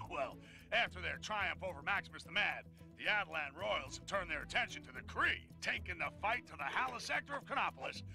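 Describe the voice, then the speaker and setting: Chatty, cheeky game narrator, sharp forceful tone with lively swings, boastful and folksy. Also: male voice, sits high, vocal fry, full character voice, poor audio. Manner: folksy, cheeky, addresses the listener directly. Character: chatty boastful comic narrator of a superhero tale. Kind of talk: comedic video game narration.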